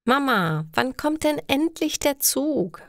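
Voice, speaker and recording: A woman voicing an eager young girl in a slowly read learner's story, carefully enunciated. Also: high voice, sing-song, no echo, clean studio sound, pitched above the performer's own voice, heavily acted. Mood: impatient. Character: eager young girl at carnival with her mother.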